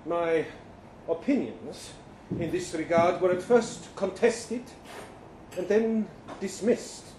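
A man performs an earnest, bewildered time-travelling professor in a story reading. His voice is high and soft-edged, with formal, precise phrasing that halts and hesitates. It sounds like a live reading in a roomy space. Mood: earnest, measured. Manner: formal, hesitant, precise diction with halting pauses. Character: earnest, bewildered male professor claiming to be from the future. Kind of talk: dramatic first-person short story reading. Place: indoor live literary reading event. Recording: roomy live venue, faint background noise.

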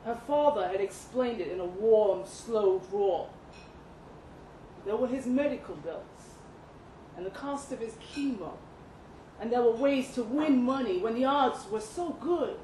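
A woman reading a literary short story aloud at a live event, with expressive, deliberate phrasing and dramatic pauses. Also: fairly high pitch. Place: indoor live reading event at a pub venue. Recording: roomy live venue, some background noise.

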